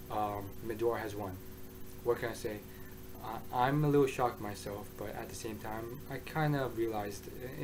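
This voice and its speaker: A man with a mid-range voice talks through a review in a loose, excitable way, circling back and restarting his thoughts. His voice is hoarse. He sounds like a regular host speaking straight to his viewers. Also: medium pitch, a little hiss or hum, poor audio. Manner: excitable, rambling, with many restarts. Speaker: manga reviewer. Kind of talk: casual review monologue.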